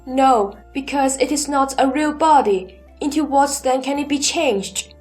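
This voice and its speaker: A woman plays an earnest holy disciple in a sutra audio drama, with a fairly high voice. The delivery is formal and measured, probing like a student in debate. Music runs underneath. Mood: questioning.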